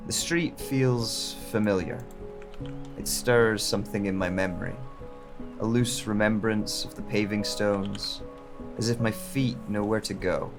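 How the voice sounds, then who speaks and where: A man playing a reflective amnesiac wanderer in an audio drama, narrating in a measured, introspective way over rain. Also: lowish voice, steady tone. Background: rain sound effects and music.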